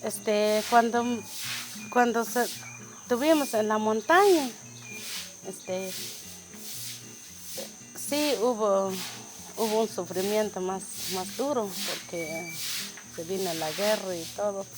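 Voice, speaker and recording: Slow, halting woman from Huehuetenango. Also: medium pitch, over music. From Huehuetenango, Guatemala. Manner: halting, hesitant, many restarts. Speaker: community resident sharing testimony. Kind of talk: testimony interview.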